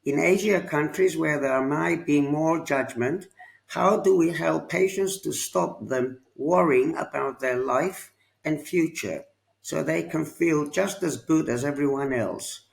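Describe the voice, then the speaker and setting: A man moderating a panel podcast, asking long questions in a slow, deliberate way. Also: fairly high pitch, vocal fry.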